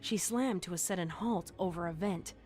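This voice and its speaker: A woman's voice: fairly low pitch, normal speed, darkish tone. A woman reading scripted horror fiction as a storyteller. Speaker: narrator. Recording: music under the narration. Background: music.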